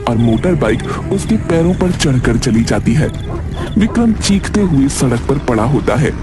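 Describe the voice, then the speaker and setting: A man's voice: mid-range voice, moderate pace. Male narrator of a cartoon tale. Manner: steady, dramatic storytelling. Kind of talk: dramatic storybook narration. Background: background music.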